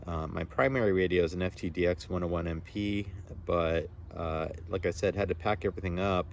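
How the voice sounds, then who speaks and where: A man's voice: deep voice, steady tone, a little fast, a little hiss or hum. A man, an amateur radio operator, chatting casually and explaining technical things in a rambling way outdoors. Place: outdoors on a front lawn.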